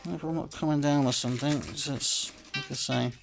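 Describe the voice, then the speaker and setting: A man's voice: medium pitch, fairly even intonation, brisk, vocal fry, low-quality recording. A man casually commenting while playing a slot game, rambling and filling gaps with "sort of" and "like I say", sounding a bit phlegmy. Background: game music.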